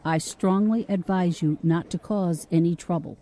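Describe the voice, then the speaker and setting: Man playing an officious, dark-voiced police officer. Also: high-pitched, steady tone, moderate pace, natural voice. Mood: formal, firm. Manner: formal, menacingly polite, bureaucratic. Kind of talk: audio drama acting.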